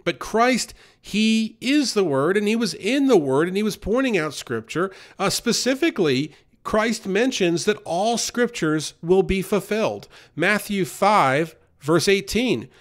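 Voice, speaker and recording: A man's voice: high-pitched, expressive, a little slow, hardly any pauses. A man preaching an informal sermon in an earnest way, with many fillers and restarts.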